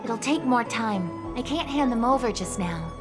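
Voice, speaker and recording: A woman voices a cautious technician in a video game, polite and measured. Her voice sits a little high. Music plays under the scene. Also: lively intonation, close to an everyday voice. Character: careful woman technician recovering footage. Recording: game cutscene with music behind.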